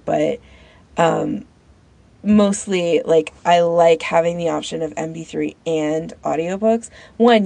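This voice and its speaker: A woman's voice: deep voice, gravelly, dark tone, no echo. A woman co-hosting a podcast, talking in a casual conversational discussion. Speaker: podcast host.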